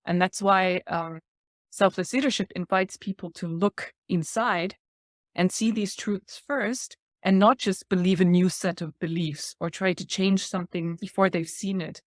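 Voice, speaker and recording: A woman's voice: medium pitch, dry recording, studio quality. A woman in her forties who grew up in Thailand, a podcast guest explaining ideas earnestly and laughing lightly between points. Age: 40s. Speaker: guest speaker. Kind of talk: reflective podcast talk.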